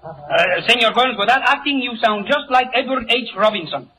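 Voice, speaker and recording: A man's voice: extremely high pitch, animated, moderate pace, bright, forceful tone, slight background noise, heavily acted, rough recording. A man playing a naive, teasing heckler who interjects guilelessly in a 1940s radio comedy.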